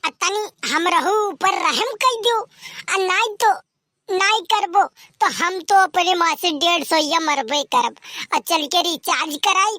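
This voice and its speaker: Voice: very high-pitched, takes it slowly, sharp tone, no echo, clean studio sound, heavily acted. A performer voicing a cheeky, scheming village lad in an Awadhi comedy cartoon, with an Awadhi accent and a teasing, argumentative manner. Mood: teasing, wheedling.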